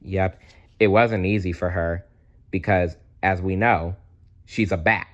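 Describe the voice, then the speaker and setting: A man reading a children's storybook aloud as narrator, in a dramatic, deliberate way. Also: low-pitched, fairly even intonation, a bit bright, lo-fi sound. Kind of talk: expressive children's read-aloud.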